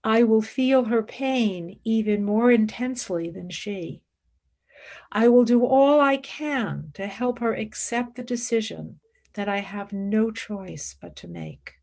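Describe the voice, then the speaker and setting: A woman's voice: fairly high pitch, slow pace, a little mellow. An actress playing a fervent, devout woman philosopher and mystic in a radio play, speaking in intense, formal, reverent declamation. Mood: calm, resolute. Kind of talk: radio play acting, monologue and dialogue.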